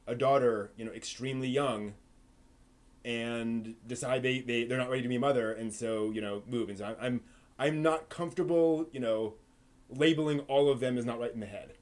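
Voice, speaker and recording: A man's voice: medium pitch, moderate pace, darkish tone. A man hosting a live stream, talking through his thoughts casually with many restarts and fillers. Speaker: host of an anime live stream. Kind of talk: casual discussion answering chat.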